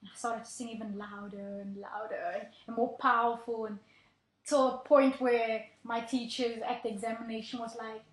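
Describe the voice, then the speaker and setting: A woman's voice: a little high, flat delivery, normal speed. Guest singer, young woman, earnest storyteller with ums, echoey room. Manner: earnest, storytelling, filler-heavy. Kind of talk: casual interview talk.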